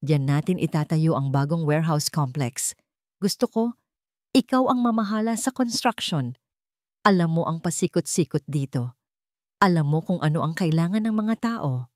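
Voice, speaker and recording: A woman's voice: low voice, normal speed, darkish tone, no echo, studio quality, natural voice. Woman voicing a proud, remorseful old tycoon father.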